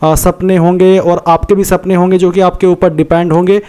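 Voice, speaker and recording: An Indian man with a high-pitched, smooth and clear voice presents an informal online explainer. He speaks straight to his viewers in a conversational way, circling back over his points and mixing in English words. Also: level tone, normal speed, warm tone. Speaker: YouTube host giving exam information.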